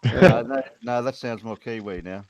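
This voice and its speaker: A man from Australia with an Australian accent, hesitant and quiet in a group call. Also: medium pitch, moderate pace, highs cut off, a crowd in the background. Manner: hesitant, quiet, unsure of his mic. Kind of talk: casual group chat.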